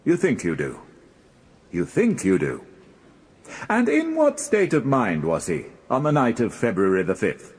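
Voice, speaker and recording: A British actor playing a formidable Crown prosecutor in a radio drama, formal and relentless, pressing with leading questions in an echoing hall. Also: male voice, medium pitch, huge pitch swings, a little slow, darkish tone, breathy edge, full character voice. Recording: long echo of a large hall.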